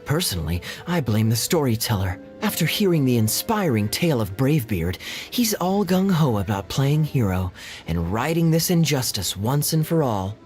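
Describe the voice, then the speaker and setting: An actor playing a suave, teasing, calculating male captain in a video game, with a wry, smooth-talking manner. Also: mid-range voice, big pitch swings, moderate pace, darkish tone, close to an everyday voice. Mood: sly, persuasive. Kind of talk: video game character dialogue. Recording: music under the voice.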